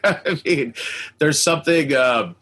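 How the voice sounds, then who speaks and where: A man's voice: fairly high pitch, slow pace, fairly bright tone. A man hosting a casual fan interview, talking in an enthusiastic, slangy way with chuckles. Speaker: podcast host and cartoon collector.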